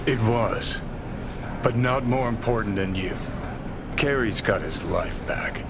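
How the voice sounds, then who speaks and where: A man playing a cynical, gruffly protective rebel companion in a video game, blunt and sardonic. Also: fairly low pitch, slow pace, very noisy. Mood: concerned, blunt. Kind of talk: naturalistic video game acting.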